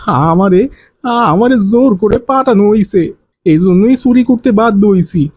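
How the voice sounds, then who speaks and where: A man acts out a cowardly village thief for a cartoon. His voice sits high for a man. He speaks in rural Bangladeshi dialect, pleading and repeating his excuses. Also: unhurried, a little mellow, slightly rough, no room sound, clean studio sound. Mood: pleading, frightened. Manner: pleading, colloquial, repeats himself.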